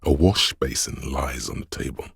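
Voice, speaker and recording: The brooding voice of a windswept city, narrating a video game with solemn poetry. The voice is an extremely deep bass, heavily husky and full of gravel. Each phrase is hushed and deliberate. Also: male voice, no room sound, clean studio sound, exaggerated voice. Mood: somber, quiet. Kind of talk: dramatic scripted game narration.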